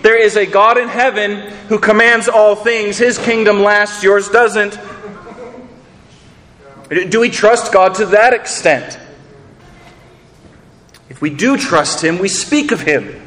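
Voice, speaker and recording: A man's voice: high voice, slow pace, long pauses, sharp tone, long reverb tail, slight background noise. A man preaching a sermon, earnest, asking rhetorical questions and repeating words as he builds each point. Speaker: pastor.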